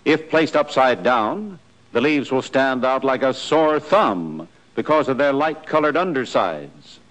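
An American man narrating a 1940s military training film in a scripted, instructive style, with clear imperative delivery. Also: mid-range voice, lively intonation, unhurried, a bit bright. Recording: noisy 1940s film soundtrack.

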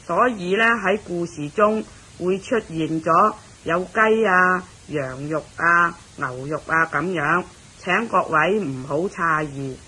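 A woman with a Hong Kong Cantonese accent introduces a radio story, explaining it in a measured, deliberate way. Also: fairly low pitch, sharp tone, breathy edge, low-quality recording. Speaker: narrator and host of a radio story series.

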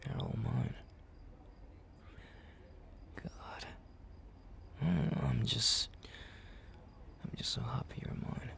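A man acts out a young male best friend in a romantic ASMR roleplay, jealous yet tender. The voice is very slow and gravelly, swinging widely from murmur to louder pleading. It is halting and breathy, with noticeable noise behind it. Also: extremely low pitch, big pitch swings, heavily acted. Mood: tender, possessive.

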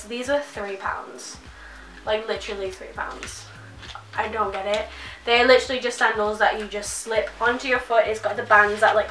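A chatty young woman talks to camera with a bunged-up, nasal voice from a cold. Her tone is bright and a touch high. She sits back from the mic in an echoey bedroom with faint music behind her. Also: far from the mic. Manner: chatty, gushing, many likes. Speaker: fashion vlogger. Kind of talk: casual haul chat to camera. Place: small bedroom, indoors.

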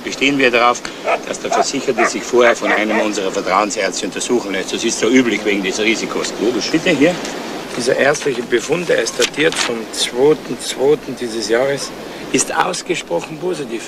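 An actor playing a fretful, suspicious insurance agent in a 1970s German crime radio play, talking in an insinuating, emphatic way. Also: male voice, mid-range voice, animated, brisk, heavy background noise, close to an everyday voice. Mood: worried, insistent.

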